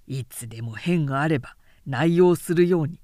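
A woman voicing a cautious male military adviser in a historical audiobook, speaking gravely, formally and deliberately. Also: deep voice, mellow, dry recording, studio quality, close to an everyday voice. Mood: grave counsel.

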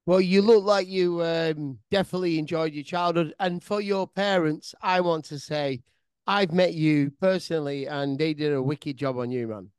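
A man's voice: a little high, steady tone, moderate pace, darkish tone, clean tone, no room sound. A man hosting a casual podcast chat, asking curious questions and often restarting them. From England. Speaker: podcast host.

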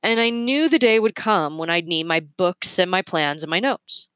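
A woman's voice: on the low side, moderate pace, fairly bright tone, clean tone, dry recording, clean studio sound, close to an everyday voice. A woman voicing an eccentric, forgetful male inventor in a children's storybook, whimsical and emphatic. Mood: grand, excited.

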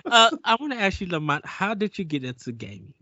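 A man's voice: high voice, very animated, fairly quick, pushed and bright, no room sound. Man in his forties, dry lo-fi sound. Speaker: podcast host. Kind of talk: casual podcast chat.

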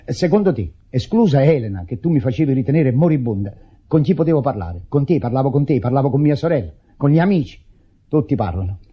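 An actor playing a bitter, exasperated widower in an Italian radio play, husky and declamatory. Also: male voice, mid-range voice, big pitch swings, quick pace. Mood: bitter, pleading. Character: exasperated widower raging at intrusive mourners. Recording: dull radio drama recording, background voices.

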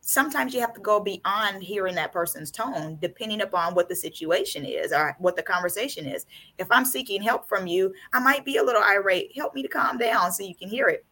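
A woman's voice: mid-range voice, expressive, brisk, bright, forceful tone, rough recording. A woman hosting a podcast, talking in a casual, emphatic way and acting out quoted reactions. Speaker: podcast host.

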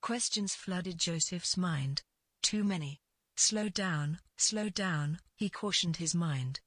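A woman reading literary fiction as narrator, in a measured and deliberate scripted delivery. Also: lowish voice, husky, no echo, studio quality.

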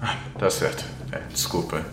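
A man's voice: moderate pace, reverberant, background noise, close to an everyday voice. A man voicing a polite, flustered police station officer in a tabletop game, deferential and hesitant.

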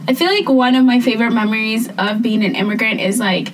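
A woman's voice: a little high, fairly even intonation, quick pace, far from the mic, slight background noise. A woman co-hosting a casual chat, speaking in a reflective, heartfelt way. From Somali. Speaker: podcast co-host.

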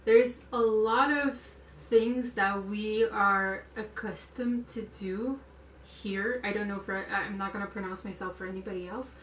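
Soft-spoken young woman in a roomy space. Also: mid-range voice, fairly even intonation, slight background noise. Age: late 20s. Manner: measured, searching for words, agreeable. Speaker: podcast co-host. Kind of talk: casual conversational discussion. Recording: roomy room sound, distant mic.

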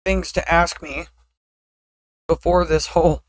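A woman with a deeper voice chatting casually as a podcast co-host, blunt and often laughing at herself. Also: fairly even intonation, a little slow, dry recording. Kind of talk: casual chat.

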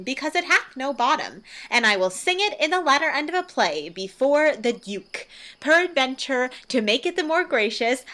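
A woman's voice: high-pitched, pushed and bright, exaggerated voice. A woman playing a boastful, exuberant working man and amateur actor, declaiming theatrically in a Shakespearean radio play.

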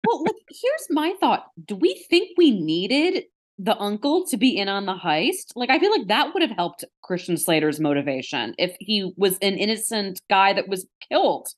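A woman's voice: medium pitch, very animated, moderate pace. A woman chatting casually and anecdotally on a podcast panel. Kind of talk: casual chat.

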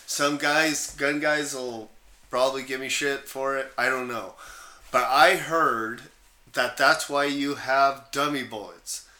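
A man with a forceful, bright voice talks casually as a podcast co-host. He is blunt and informal, swearing lightly now and then, and the room adds a noticeable echo to his mid-pitched speech. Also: fairly even intonation, takes it slowly. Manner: informal, blunt, mildly profane. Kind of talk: casual chat about guns.

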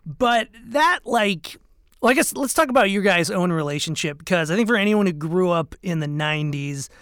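A man's voice: high voice, lively intonation, moderate pace, a bit bright, clear, resonant tone, no room sound, studio quality. A man co-hosting a podcast, chatting casually and rambling a little with fillers. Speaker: podcast co-host.

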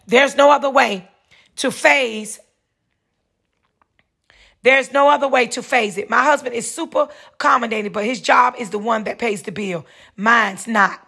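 A woman's voice: fairly high pitch, bright, forceful tone, breathy edge. A woman, hosting a solo podcast, reading a news article aloud and then rambling through casual commentary, repeating herself and correcting her words.

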